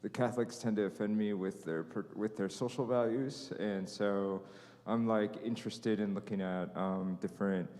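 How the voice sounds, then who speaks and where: A man's voice: on the low side, fairly even intonation, normal speed, hardly any pauses, mellow. A man introducing himself in a class, hesitant and full of fillers. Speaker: class participant. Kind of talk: casual self-introduction. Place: church hall. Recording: long church-hall reverb.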